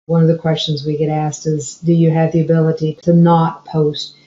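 A woman explaining software step by step as a trainer narrating a screencast tutorial. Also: sits low, completely flat delivery, normal speed. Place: indoor room, screen recording voice-over. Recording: dull, roomy sound.

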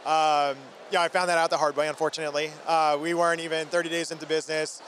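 A man's voice: a little high, flat delivery, fast, a bit bright. A man in his thirties giving an interview as a company founder, talking informally and energetically into a handheld microphone. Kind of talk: trade show interview. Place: busy trade show booth indoors. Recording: faint convention hall background noise.

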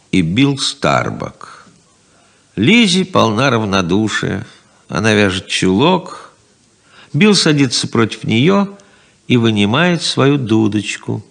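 Slow, gravelly male radio-drama narrator. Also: lowish voice, huge pitch swings, a bit bright.

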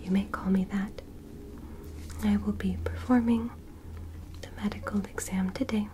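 A young woman voicing a gentle, formal alien medical examiner in an ASMR roleplay, in soft breathy whispers with measured, reassuring phrases. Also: mid-range voice, fairly even intonation, noisy background, close to an everyday voice. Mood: calm, welcoming. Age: young adult. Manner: slow, deliberate, short measured phrases, reassuring. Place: small bedroom dressed as spaceship, indoors. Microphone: close microphone in front.

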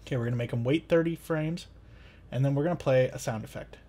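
A man in his thirties with a mid-pitched voice presents a tutorial. He explains things step by step in a casual, conversational way, as a host talking viewers through what he is doing. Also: quick pace, mellow.

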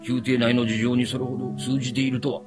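An actor playing a stern retainer of a noble house, speaking formal, archaic Japanese with haughty deference in a period fantasy radio drama. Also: male voice, medium pitch, level tone, quick pace, music in the background, natural voice. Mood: probing.

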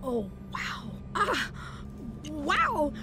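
An awkward young cartoon superheroine, starstruck and stammering. Her high voice lurches up and down in halting phrases, with music behind. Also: wildly swooping pitch, heavily acted. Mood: starstruck, flustered. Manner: flustered, stammering. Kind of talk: animated film game voice acting.

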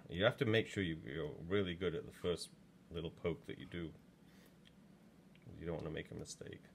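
A man's voice: low voice, normal speed, creaky, a little hiss or hum. A man in his sixties from England, chatting in a rambling way with plenty of ums while working in a pottery studio, recorded from a camera just over his shoulder. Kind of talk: casual demo talk while working.

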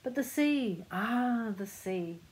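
A woman voicing a bold, exuberant pirate mother in a storybook, calling out in a loud, declamatory way. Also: on the high side, animated, slow pace, voice pushed up.

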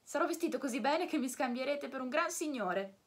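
A woman voicing an eager, warm-hearted wooden puppet boy, breathy and full of tumbling questions. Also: high voice, lively intonation, brisk, voice pushed up. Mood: cheerful. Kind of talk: storybook reading.

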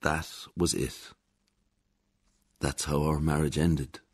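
An actor playing a defeated ex-husband in a radio drama monologue, measured and rueful as he confides. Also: very deep voice, little pitch movement, husky, exaggerated voice.

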